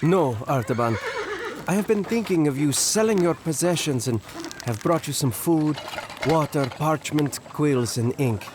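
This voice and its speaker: An actor playing a young newlywed friend in an audio drama, kindly and apologetic, speaking gently, formally and sincerely. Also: male voice, on the high side, lively intonation, a little slow, noisy background. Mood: supportive. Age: young adult.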